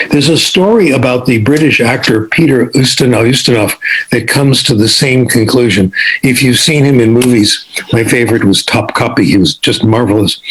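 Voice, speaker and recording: Older man lecturing, husky voice. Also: medium pitch, a bit bright, poor audio. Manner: conversational, digressive storyteller with small restarts. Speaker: lecturer on language acquisition. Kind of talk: informal lecture with anecdotes.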